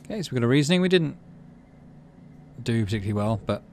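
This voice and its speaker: Lively-pitched man doing game commentary. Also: fast talker, a little hiss or hum. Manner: thinks aloud, muttering to himself. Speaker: gaming channel host. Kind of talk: let's play commentary and read-aloud narration.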